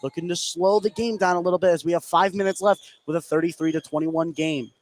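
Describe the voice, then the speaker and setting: A man's voice: fairly high pitch, steady tone, quick pace, a little mellow. A man doing live play-by-play basketball commentary as a radio announcer. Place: indoor gymnasium, courtside. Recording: clear background noise from a gym.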